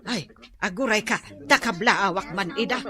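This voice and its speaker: A woman's voice: medium pitch, moderate pace, a crowd in the background, lightly acted. A woman playing a showy, nosy, well-off aunt in an Ilocano comedy radio drama, exclamatory and with an Ilocano accent. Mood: nosy, impressed. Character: boastful, gossipy well-off aunt at a family reunion.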